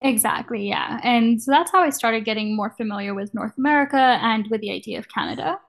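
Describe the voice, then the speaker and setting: A woman's voice: a little high, fairly quick, gravelly. A woman in her thirties from Kenya, chatting casually as an interview guest and laughing easily.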